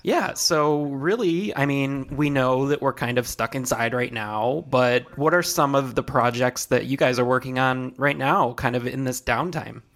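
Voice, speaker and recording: A man's voice: medium pitch, a little slow, hardly any pauses, fairly bright tone. A man co-hosting a podcast, asking a question hesitantly, recorded away from the mic. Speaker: podcast co-host. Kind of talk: casual podcast interview. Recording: distant from the mic.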